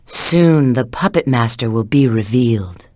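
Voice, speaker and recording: A woman's voice: extremely low pitch, lively intonation, a little slow, a little mellow, no room sound, clean studio sound. A woman voicing a confident, flirty female bat spy and treasure hunter in a video game, with a cool, knowing, instructive manner. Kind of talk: video game character voice acting.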